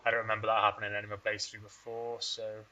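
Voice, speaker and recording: A man's voice: lowish voice, monotone, rapid-fire, pushed and bright, dull audio. A man hosting a strategy game let's play, rambling and thinking aloud in a casual, self-deprecating way. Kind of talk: casual gameplay commentary.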